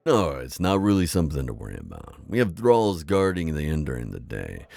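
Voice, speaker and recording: A very deep-voiced, wise old vampire innkeeper, acted by a man for an immersive ASMR roleplay. He is friendly and reassuring, with a gravelly creak and lively swings in pitch as he chats to a guest. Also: fairly quick, no echo, exaggerated voice. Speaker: roleplay audio performer.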